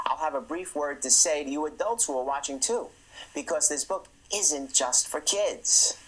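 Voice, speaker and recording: A middle-aged man, the author, reading his rhyming children's book aloud in a lively, expressive way. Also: fairly high pitch, poor audio.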